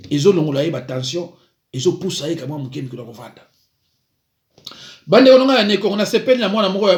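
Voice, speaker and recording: A man, a pastor, giving an informal preaching talk, moving between calm advice and lively storytelling. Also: high-pitched, roomy sound.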